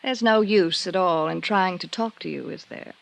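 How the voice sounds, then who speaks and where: An actress playing a composed, evasive wife under suspicion, in an old-time radio drama. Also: female voice, lowish voice, normal speed, creaky, no room sound. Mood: wounded, defiant. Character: cool, evasive married woman suspected of insurance fraud.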